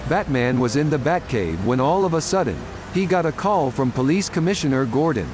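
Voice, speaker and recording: A man's voice: a little high, animated, fairly quick. A man narrating a scripted audio drama story. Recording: loud music under the voice.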